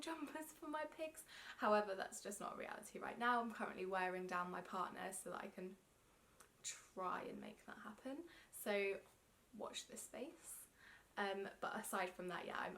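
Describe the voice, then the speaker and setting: Young london woman vlogging about knitting, chatty and a bit awkward, lots of ums, indoors to camera. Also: mid-range voice. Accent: London English. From England. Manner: chatty, self-conscious, frequent ums. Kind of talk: casual self-interview to camera. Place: indoor room with yarn shelves.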